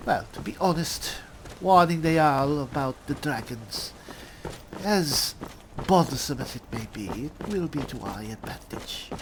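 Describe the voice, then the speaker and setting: A woman's voice: low-pitched, animated, measured pace. A woman roleplaying aloud through a video game, musing in character in a wry, theatrical way. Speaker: roleplaying game player, host. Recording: faint background noise, game ambience.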